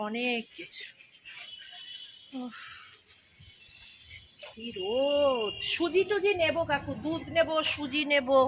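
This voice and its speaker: A woman's voice: sits high, slow, pauses often, noisy background. A woman chatting informally to family and viewers in a casual daily vlog.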